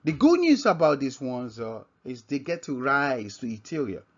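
A man with a Nigerian accent teaches scripture informally at a medium pitch. He speaks haltingly, circling back to repeat and reword his points. The recording is dull and lo-fi. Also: huge pitch swings. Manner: halting, repeats and rephrases himself. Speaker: religious teacher. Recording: dull, lo-fi microphone sound.

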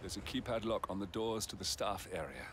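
Clear background noise sits behind a fairly low voice. It is a man playing a composed video game handler who talks an agent through a mission in a focused, matter-of-fact, businesslike manner. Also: animated, a little fast, natural voice. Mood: brisk, helpful.

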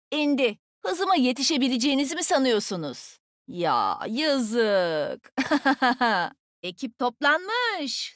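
A confident young woman fighter from a shooter game. Her voice is high and swings in lively leaps, teasing and full of energy. The sound is dry and close, with no room around it. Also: dry recording, full character voice. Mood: taunting. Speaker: video game voice actor. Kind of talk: game character voice lines, short combat callouts.

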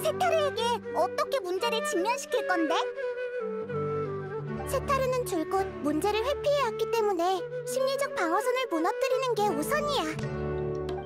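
A woman voicing a small, calm, wise girl deity in a video game, explaining things in a measured, gentle way. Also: very high voice. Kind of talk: video game cutscene acting. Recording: music under dialogue. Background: background music.

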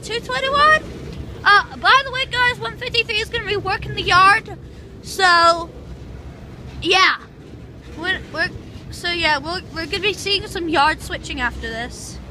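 A woman with a very high, bouncy voice narrates trains rolling past a station platform. She talks slowly and whoops with excitement at each train. It is recorded on a handheld phone outdoors with loud rumbling train noise behind her. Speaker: train spotter filming trains. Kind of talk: live excited railfan commentary. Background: loud passing freight trains.

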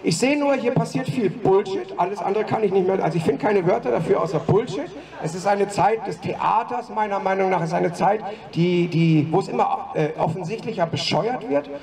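A man with a high, gravelly voice speaks haltingly into a handheld mic on a city street. He stumbles and restarts often. He is heard from a distance, with a crowd around him outdoors. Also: darkish tone. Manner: halting, many fillers and restarts. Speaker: demo speaker at open mic. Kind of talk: informal protest speech. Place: city street outside a broadcast building. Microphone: handheld mic, far camera.

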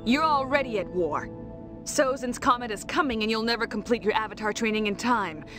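A woman's voice: on the high side, over music, natural voice. Woman acting a cool, determined young female villain. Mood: persuasive, grave. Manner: measured, menacing. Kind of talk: cartoon game voice acting.

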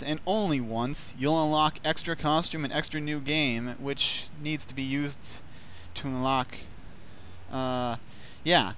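A man's voice: a little high, a bit bright, slight background noise. A man in a casual chat about a video game, with sarcastic, foul-mouthed banter.

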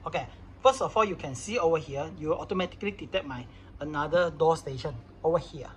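A man's voice: fairly high pitch, fast, a bit bright, a little hiss or hum. A man narrating an informal hands-on product tutorial in casual, phrasing. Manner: casual non-native English phrasing. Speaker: product demonstrator.

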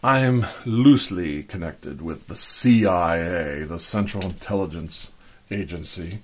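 A man's voice: low voice, unhurried, husky. A man playing a chummy, genteel American spy gadget supplier disguised with a put-on British accent, reminiscing in old-fashioned phrases in an improvised roleplay. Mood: confiding, wry. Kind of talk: improvised one-sided spy roleplay, addressed to the listener.

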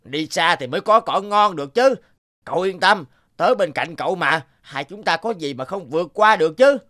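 A man voicing a determined, persuasive golden goat in an animated fable, assured and encouraging. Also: high voice, normal speed.

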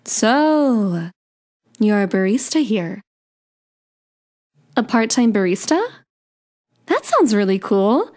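A woman playing a playful, sweet young daughter of Cupid in an ASMR romance roleplay, coy and gently teasing. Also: mid-range voice, very animated, slow, pauses often. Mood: curious, teasing.

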